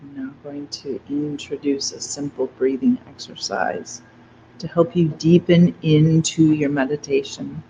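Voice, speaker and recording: A meditation guide leading a guided breathing meditation in a soft, gentle, unhurried way. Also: medium pitch, steady tone, a little hiss or hum. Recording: dull lo-fi recording with faint ambience.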